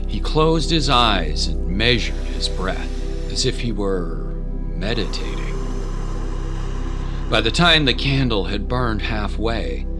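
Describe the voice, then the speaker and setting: A man narrating a dramatic story from a script, with music behind him. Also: lowish voice. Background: clear music.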